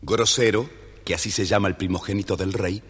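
A man with an Argentine accent narrating a scripted children's story, expressive and theatrical. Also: on the low side, fairly quick, in a large hall, over music.

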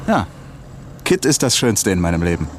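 An actor playing an affable, successful lawyer and husband in a 1960s German radio play. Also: male voice, deep voice, very animated, normal speed, a little hiss or hum.

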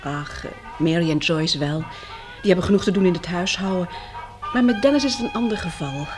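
A woman acting in a radio play as a caring, anxious, motherly survivor, with an expressive, emotional delivery. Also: lowish voice, a little fast, over music, lightly acted. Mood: concerned. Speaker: actress in a radio drama.

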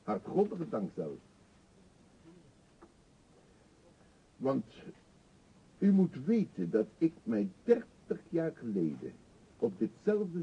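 Husky, chatty old eccentric beggar. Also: male voice, fairly high pitch, exaggerated voice. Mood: confiding, emphatic. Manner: chatty, insistent, theatrically confiding. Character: eccentric talkative older male beggar, pushy and wry. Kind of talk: radio drama acting. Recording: dull, muffled old radio play recording.

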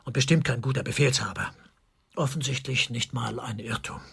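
Husky, cornered officer. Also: male voice, mid-range voice, fairly even intonation, normal speed, a bit bright, heavily acted. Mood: bitter, troubled. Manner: agitated, swinging between indignant outbursts and subdued confession. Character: cornered male army officer under interrogation, defensive, tormented. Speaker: suspect, military governor. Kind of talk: German radio crime drama acting.

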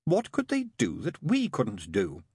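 A man's voice: mid-range voice, huge pitch swings, measured pace, darkish tone, slightly rough, no room sound, studio quality, pitched above the performer's own voice, heavily acted. A man voicing a worldly, world-weary demon in an audiobook, with a sarcastic, offhand, drawling manner. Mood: exasperated.

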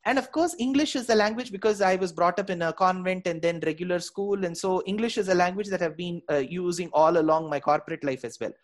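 A woman's voice: fairly low pitch, quick pace, few pauses. A woman with a South Indian accent, a native Tamil speaker, giving earnest, respectful explanations as a lecturer being interviewed. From Bangalore, India. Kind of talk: interview answers, explanatory talk.

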